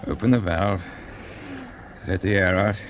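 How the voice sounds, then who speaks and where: An actor with a British accent playing a calm, commanding space pilot in clipped 1950s radio drama style, giving steady instructions. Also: male voice, sits low, unhurried, heavy background noise. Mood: brisk, steady. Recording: vintage radio broadcast with music behind.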